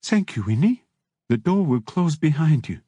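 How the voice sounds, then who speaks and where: Man voicing a probing brothel madam, pitched up. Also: sing-song, normal speed, dark tone, husky, no echo. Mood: courteous. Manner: questioning, polite but pointed. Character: brothel madam, a woman, brisk, probing, courteous hostess. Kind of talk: audiobook character dialogue.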